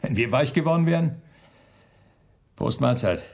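An actor in a German radio drama playing a cold, scornful Nazi functionary with a husky, gravelly voice. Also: male voice, medium pitch, animated, moderate pace, a little mellow. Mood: urgent, hard. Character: calculating male Nazi camp functionary, scornful, zealous, cold.

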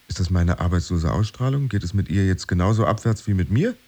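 A man's voice: sits low, animated, a little fast, dark tone. A man playing an anxious, secretive unemployed husband figure in a radio drama, confiding his thoughts in a hesitant, brooding monologue. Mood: anxious, falling. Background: music under the voice.

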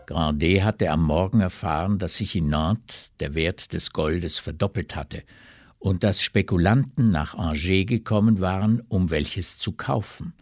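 A man's voice: sits low, measured pace, no room sound, clean studio sound. A man reading scripted literary narration in a radio drama.

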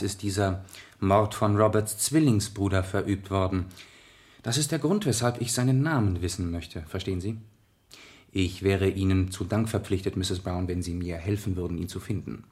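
An actor playing an urbane, shrewd gentleman detective in a German radio play, polite, precise and insinuating. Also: sits low, lively intonation, normal speed. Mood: coolly persuasive.

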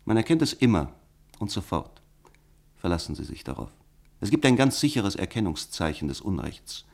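An actor in a German radio play playing a resolute philosopher of direct action, lecturing in an emphatic, rhetorical manner. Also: male voice, lowish voice, sing-song, moderate pace, creaky, heavily acted. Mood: insistent, fervent.

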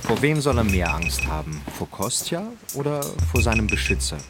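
An actor as the sober, measured narrator of a radio crime drama, telling it in standard German. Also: male voice, fairly low pitch, very animated, over loud music. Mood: sober, suspenseful. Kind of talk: scripted present-tense narration in a radio drama.

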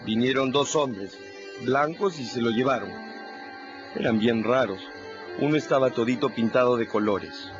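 A man's voice: medium pitch, normal speed, close to an everyday voice. An actor playing a blunt, matter-of-fact man, part of a radio drama. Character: plain-spoken man, a slave catcher's hand, blunt. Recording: muffled radio audio with music.

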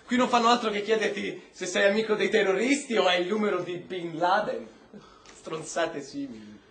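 A man's voice: sits high, moderate pace, fairly bright tone. A man acting a weary, streetwise prisoner in a radio drama, talking in a sardonic, conspiratorial way. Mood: wary, curious. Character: weary, streetwise British-Pakistani male prisoner, wryly curious. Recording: roomy echo with faint noise.

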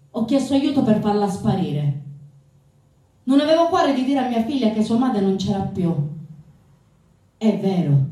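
A woman's voice: fairly high pitch, warm tone. A woman playing a male mafia killer, a defiant, possessive father, declaiming a theatrical courtroom confession. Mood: solemn, confessing. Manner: declamatory, emphatic. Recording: roomy stage, far from the mic.

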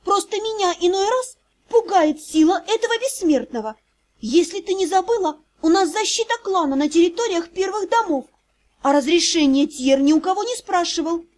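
A woman's voice: very high-pitched, expressive, moderate pace, a little mellow, voice pushed up. A woman voicing a fearless, hot-tempered young vampire noblewoman in an audiobook, imperious and scolding. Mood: irritated.

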